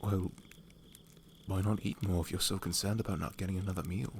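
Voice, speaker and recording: A man playing a proud, earnest young knight in a fantasy ASMR audio roleplay, formal and halting, easily flustered. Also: low-pitched, steady tone, creaky, darkish tone, slight background noise. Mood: wary.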